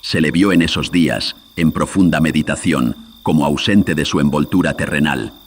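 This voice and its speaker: A man's voice: very deep voice, moderate pace, slight background noise. A man narrating a scripted horror story in a solemn, ominous manner, his voice processed with filters. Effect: voice processed with audio filters.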